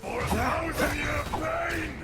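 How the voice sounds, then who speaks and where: An actor playing a vengeful ancient dragon in a video game, theatrical and indignant, with a gravelly voice. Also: male voice, very high voice, heavily acted. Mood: enraged. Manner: theatrical, indignant, drawn-out delivery. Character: vengeful ancient dragon sealed underground, bitter and furious. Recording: echoing voice over music.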